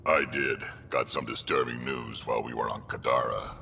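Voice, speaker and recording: An actor playing a gruff, blunt old alien warrior in a video game cutscene, with a gravelly voice. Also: male voice, extremely low pitch, steady tone, normal speed, pushed and bright, slight background noise, exaggerated voice. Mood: grave, urgent. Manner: terse, blunt.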